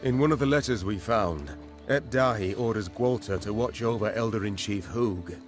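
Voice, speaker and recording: An actor playing an earnest, loyal knightly officer in a video game, speaking formally and with deference. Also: male voice, fairly low pitch, normal speed, lightly acted. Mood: grave, reporting. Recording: music behind the voice.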